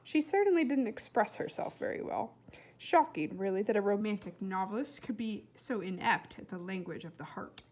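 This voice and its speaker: A woman's voice: medium pitch, expressive, moderate pace, darkish tone, poor audio. A woman reading a storybook as a precocious young boy, formal and self-important, with wordy, precise diction. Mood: earnest, formal.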